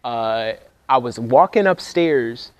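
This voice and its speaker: A young man, a music producer, talking casually to the camera at a production workshop, with loose slangy speech that heats up when he gets excited. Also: medium pitch, takes it slowly, no room sound. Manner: laid-back slangy talk, gets fired up when excited. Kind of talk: casual vlog talk to camera.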